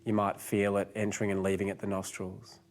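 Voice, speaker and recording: A man narrating a guided meditation as the guide, giving gentle, unhurried instructions with pauses. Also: on the low side, monotone, a little hiss or hum.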